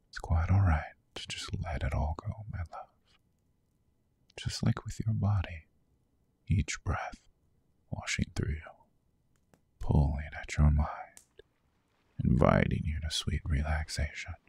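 A man playing a seductive, soothing vampire lover in an ASMR sleep roleplay, speaking in a hushed, coaxing, breathy whisper. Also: extremely low pitch, full character voice.

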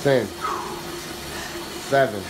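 A man filming on his phone in a small home gym calls out short, repeated workout commands in loose, slangy speech. His voice sits at an ordinary middle pitch. The phone audio picks up clear background noise. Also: steady tone, very slow. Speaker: workout coach. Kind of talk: coaching commands during exercise. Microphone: handheld phone camera.

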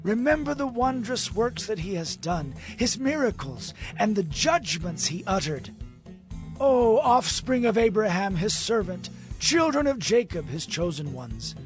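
A man narrating a dramatized Bible reading in an expressive, measured way. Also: high-pitched. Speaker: scripture narrator. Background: soft music.

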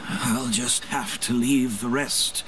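A man's voice: medium pitch, fairly even intonation, background noise, lightly acted. An actor playing a weary, injured old man in a game, sighing as he talks. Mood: weary, resigned. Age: older. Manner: slow, sighing.

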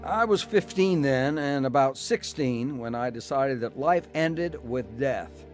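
A man's voice: mid-range voice, animated, unhurried, faint music behind, close to an everyday voice. An actor playing a rebellious, hard-drinking young man in a radio drama testimony. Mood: reflective. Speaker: dramatised testimony narrator and lead. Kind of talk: radio drama narration and acting.

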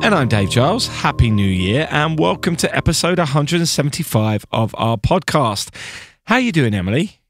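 A man's voice: fairly high pitch, sing-song, moderate pace, faint music behind. A man hosting a podcast, upbeat and playful, mixing chat with scripted presenting. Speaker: podcast host.

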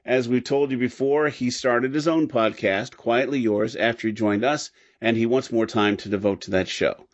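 A man's voice: medium pitch, normal speed, hardly any pauses. A man co-hosting a podcast in a casual, teasing, joking manner. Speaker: podcast co-host. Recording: dull lo-fi sound.

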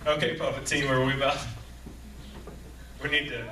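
A man's voice: medium pitch, flat delivery, moderate pace, sharp tone. A man, leading a church children's program and calling out to the kids in an upbeat way. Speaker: children's ministry leader. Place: church sanctuary. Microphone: far camera. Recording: echoey sanctuary, distant camera, noise.

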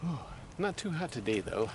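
A man's voice: fairly high pitch, normal speed. A middle-aged man chatting casually as he films a walk along outdoor trails, explaining the sights as he goes. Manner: relaxed, chatty, explains what he sees. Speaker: vlog co-host. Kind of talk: casual travel vlog narration. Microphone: handheld camera mic. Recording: outdoor camera audio with wind noise.

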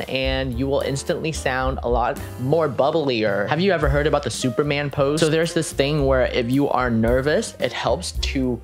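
Upbeat young American man over guitar music. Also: mid-range voice. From United States (California). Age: young adult. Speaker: vlogger. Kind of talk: scripted advice to camera, conversational. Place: small home room at a desk, indoors. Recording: music behind the voice.

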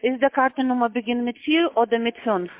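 A man as a phone scammer, speaking halting, broken German patiently and repetitively on a phone call. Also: very high voice. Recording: tinny phone line.

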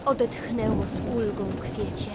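Female storyteller for rhyming children's tale; very slow, higher-pitched delivery, prominent music underneath. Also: fairly even intonation, heavy background noise. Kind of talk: rhymed children's fairy-tale narration. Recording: music behind the voice. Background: loud music.

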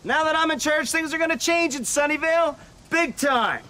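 An actor playing a pompous, self-important middle-aged park supervisor, giving blustery speeches outdoors at a trailer park picnic. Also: male voice, very high-pitched, moderate pace, bright, forceful tone. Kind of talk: mockumentary comedy acting.

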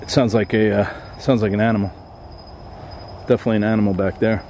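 A man hushed and uneasy, talking quietly to his viewers while alone in dark woods at night, recorded on a handheld camera mic. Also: fairly low pitch, fairly even intonation, fast talker, slight background noise. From Florida. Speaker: paranormal explorer vlogger. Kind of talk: hushed solo night exploration vlog. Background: crickets and insects at night.

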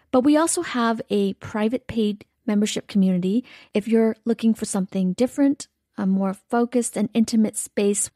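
A woman co-host reading a scripted podcast introduction at a measured pace. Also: medium pitch, mellow, no echo, studio quality.

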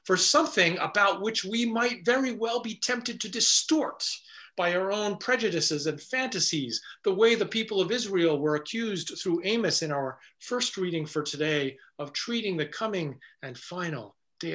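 A middle-aged man, a pastor, preaching an earnest, measured sermon indoors before a stone wall. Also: high-pitched, expressive, fairly bright tone.

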